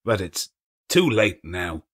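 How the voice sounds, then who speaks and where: A man voicing an old male miser, excitedly reliving childhood memories between laughing and crying. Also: low voice, slow, heavily acted. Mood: wistful.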